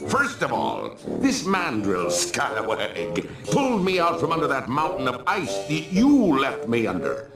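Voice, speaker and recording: An actor playing a menacing, gloating undead pirate captain in a video game, with a theatrical villainous drawl. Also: male voice, medium pitch, huge pitch swings, measured pace, few pauses, echoey room, over loud music. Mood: smug menace.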